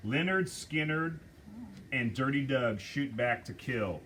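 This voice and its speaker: A man reading the stage directions aloud at a script table read, measured and deliberate. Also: mid-range voice, lively intonation, a bit bright, a little hiss or hum.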